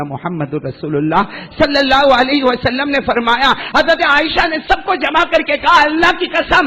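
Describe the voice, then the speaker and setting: A man's voice: extremely high pitch, bright, forceful tone. A man preaching an Islamic sermon in a mosque, impassioned and rhetorical, repeating phrases and prompting the congregation to answer. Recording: reverberant hall, long echo.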